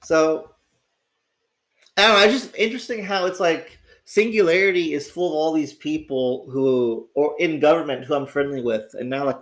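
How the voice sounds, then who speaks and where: A man with a raspy, sick voice, chatting casually as host of his livestream, rambling and swearing with lots of restarts. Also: mid-range voice, a bit bright. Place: at home.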